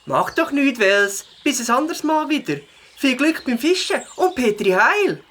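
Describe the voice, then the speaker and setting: Woman voicing a lively, plucky little Swiss German beaver. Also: sits high, unhurried, full character voice. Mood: friendly. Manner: expressive, talking to self. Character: plucky little beaver, keen young mountain biker. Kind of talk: children's audio play acting.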